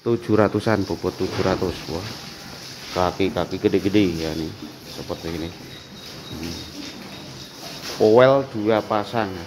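A man's voice: sits low, a little slow, long pauses. Vlogger, man, casual tour host who repeats every answer, faint background hiss. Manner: chatty, asks short questions and repeats answers back. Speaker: vlogger touring a cattle farm. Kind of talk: casual walk-through vlog with interview. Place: outdoor open-sided cattle barn.

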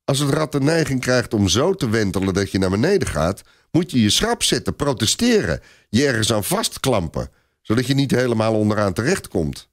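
A man's voice: mid-range voice, big pitch swings, a little fast, close to an everyday voice. A man voicing a stubborn, eager young girl who explains things in a cocksure, instructive way in a storybook reading.

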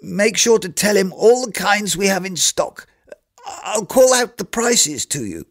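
A fussy, business-hungry coal merchant, put on by a man reading a story. He talks in a raised, excitable register with wide, animated swings. He sounds eager and a little flustered. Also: sits high, measured pace, no room sound, clean studio sound, pitched above the performer's own voice, full character voice. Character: stubby-legged middle-aged male coal merchant, eager for business.

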